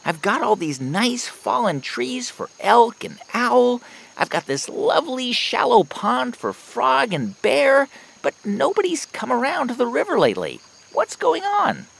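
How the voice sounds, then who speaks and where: An actress playing an eager, earnest beaver with a creaky, expressive voice in a children's audio folktale. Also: female voice, fairly high pitch, unhurried, heavily acted, poor audio. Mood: puzzled, wistful. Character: eager, busy, earnest beaver, sociable and sincere.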